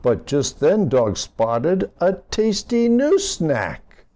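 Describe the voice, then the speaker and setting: Guy reading a kids picture book, slow and mellow, really expressive storytime delivery. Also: on the high side. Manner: unhurried, animated, addressing young listeners. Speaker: storybook reader for children. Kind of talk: expressive read-aloud of a rhyming picture book.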